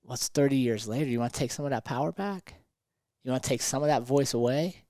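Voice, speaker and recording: A man's voice: mid-range voice, quick pace, mellow, dry recording, studio quality. A man talking conversationally as a motivational podcast host and coach, emphatic and fond of rhetorical questions.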